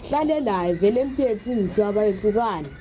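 A woman's voice: mid-range voice, expressive, moderate pace, a crowd in the background, natural voice, rough recording. A woman playing an outspoken, inquisitive young son in a radio drama. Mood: curious, questioning.

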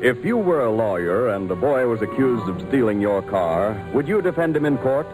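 A man's voice: mid-range voice, very animated, moderate pace, rough recording. A man announcing in a formal, dramatic 1940s radio style, reading from a script on an old broadcast. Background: music.

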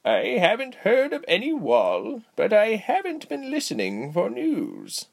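Man voicing a high, earnest young adventurer woman. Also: huge pitch swings, a little slow, creaky, full character voice. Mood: determined. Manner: urgent, questioning, polite. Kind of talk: storybook character voice.

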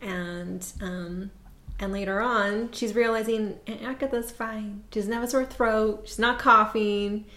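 A woman's voice: medium pitch, fairly even intonation, takes it slowly, fairly bright tone. A woman co-hosting a casual chat, talking through an episode in a conversational way. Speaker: podcast co-host. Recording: roomy echoey sound.

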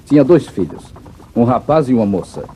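An actor playing a noble, upright cowboy hero with a Brazilian accent, speaking in an earnest, formal way in an old radio serial. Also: male voice, medium pitch, sing-song, a little fast, dark tone, lightly acted. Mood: calm, assured. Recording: muffled vintage radio recording with background noise.

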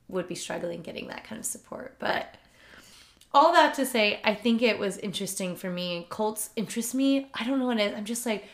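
A young woman co-hosting a podcast in casual chat, agreeable and quick to laugh, a little sniffly. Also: medium pitch. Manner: giggly, agreeable, frequent laughs. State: sniffly nose. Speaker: podcast co-host.

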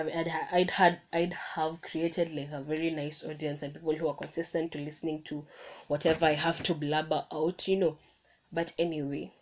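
Young woman, low voice, solo podcast host recorded on a phone, casual and encouraging, stumbles and restarts. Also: darkish tone, rough recording. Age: young adult. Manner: chatty, self-correcting, motivational. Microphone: phone microphone.

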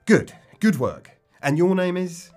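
A man playing a self-important, sarcastic police officer in a dark comedy audio drama, brusque and officious. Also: sits high, big pitch swings, a little slow, soft background music. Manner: officious, sardonic, clipped.

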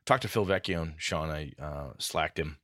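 A man co-hosting a gaming podcast in casual chat, telling animated stories and acting out what others said. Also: a bass voice, moderate pace, no echo, studio quality. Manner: animated storyteller acting out quotes. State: a bit groggy. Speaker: podcast co-host.

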